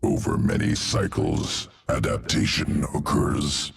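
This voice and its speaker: A man's voice: rumbling low, animated, slow, gravelly, heavily acted. A man voicing a cold, clinical male alien evolution master in a game cutscene, speaking in terse clipped fragments. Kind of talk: video game cutscene acting. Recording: distant game audio with loud music.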